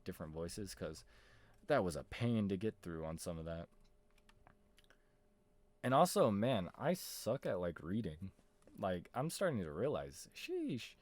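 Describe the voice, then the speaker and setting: A man's voice: low-pitched, a little mellow. A man giving casual, rambling gaming commentary in slangy, informal speech. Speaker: gaming video host.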